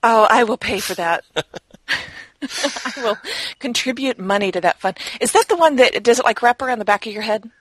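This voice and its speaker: A woman's voice: a little high, lively intonation, moderate pace, fairly bright tone, poor audio. Giggly woman co-hosting a gaming podcast. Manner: giggly, teasing, chatty. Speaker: podcast co-host. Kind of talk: casual gaming podcast chat.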